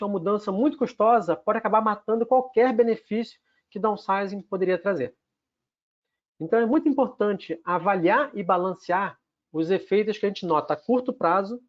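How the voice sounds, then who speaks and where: Carioca man, high voice, methodical webinar presenter, muffled lo-fi stream. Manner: structured, lists numbered takeaways.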